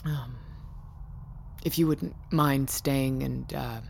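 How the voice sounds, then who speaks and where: An actress playing a controlling, weary tech company boss in an audio drama, guarded and persuasive, speaking in a measured, deliberate way. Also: female voice, rumbling low, fairly even intonation, vocal fry, a little mellow, a little hiss or hum, full character voice. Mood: firm, pleading. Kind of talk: naturalistic audio drama acting.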